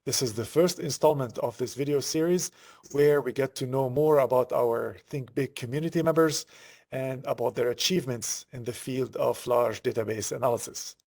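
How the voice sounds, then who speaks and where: Man, interview host, creaky voice, medium pitch, pauses mid-question, conversational. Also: a little mellow.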